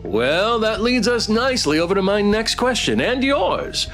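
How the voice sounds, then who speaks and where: A man's voice: high voice, big pitch swings, normal speed, fairly bright tone, faint music behind, pitched above the performer's own voice. A man voicing a peppy male dating game show host in a visual novel, with teasing, showy patter. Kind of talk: visual novel dialogue read-aloud.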